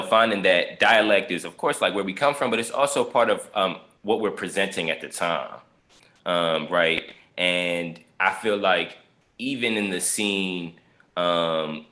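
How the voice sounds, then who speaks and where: A man with a very low but bright, forward voice chats as an actor on a panel. His phrasing is loose and colloquial, aimed straight at his colleagues. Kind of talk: casual chat. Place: at home on a video call.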